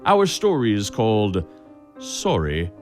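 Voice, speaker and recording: Guy doing a creepy old-time radio host, low slow voice, very dramatic swings, music behind him. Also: wildly swooping pitch, a little mellow, exaggerated voice. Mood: wry, ominous. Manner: dramatic, deliberate, drawn-out phrasing. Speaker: narrator host of a suspense radio play.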